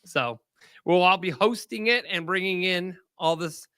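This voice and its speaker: A man with a high, bright voice hosts a casual talk show, upbeat and chatty, now and then tripping over guests' names. He speaks close to a studio mic in a dry, dead room. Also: measured pace, clean tone, dry recording. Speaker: podcast host. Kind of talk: casual chat.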